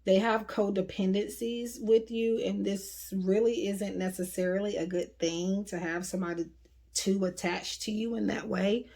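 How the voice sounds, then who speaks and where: A woman talks through a tarot reading in a loose, chatty way, full of "like" and "um". Her voice is starting to wear and sounds slightly hoarse. Her pitch sits in an ordinary middle range for a woman. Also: steady tone, moderate pace, dark tone. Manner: chatty, rambling, frequent fillers. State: voice getting hoarse. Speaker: tarot reader. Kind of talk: casual tarot reading to camera.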